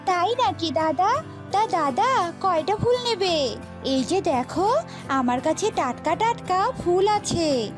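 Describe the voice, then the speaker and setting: A woman's voice: extremely high pitch, expressive, slow pace, over music, heavily acted. A woman voicing a friendly bird flower seller in a cartoon, chatty, folksy and polite. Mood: friendly, curious.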